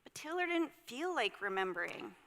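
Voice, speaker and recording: A woman reading a children's story aloud expressively and deliberately, with gentle delivery and dramatic pauses. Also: on the high side, very animated. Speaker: storyteller at a church service. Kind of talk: expressive read-aloud of a children's picture book. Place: church sanctuary. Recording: hall reverb.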